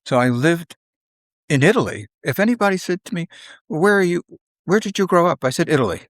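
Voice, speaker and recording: An older man reminisces conversationally, in halting, unhurried phrases, often acting out bits of dialogue. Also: on the high side, animated, no room sound, studio quality. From South Philadelphia, United States. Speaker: podcast guest.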